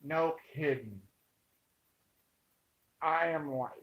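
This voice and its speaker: An American man giving an informal, opinionated monologue to viewers, emphatic and halting, repeating himself to drive points home. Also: a little high, a bit bright. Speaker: online commentator. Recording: dull, muffled lo-fi sound.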